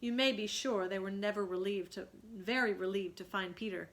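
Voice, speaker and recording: A woman's voice: mid-range voice, moderate pace. A woman reading a children's story aloud as a teacher, narrating expressively and asking explanatory questions.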